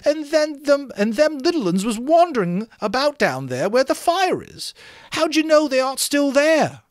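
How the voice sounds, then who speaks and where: Shrill, indignant schoolboy. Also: male voice, sing-song, normal speed, no room sound, clean studio sound. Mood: gasping alarm. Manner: shrill, whining, protesting, gasping delivery. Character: indignant, panicky schoolboy outcast, scolding the others. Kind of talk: dramatic audiobook reading of a novel.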